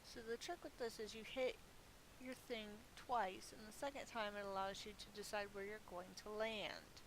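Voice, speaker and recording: A woman's voice: medium pitch, steady tone, normal speed. A middle-aged woman giving casual, playful gameplay commentary, thinking aloud and murmuring to herself as she goes. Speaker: gamer streaming her play. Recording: clearly hissy, noisy home recording.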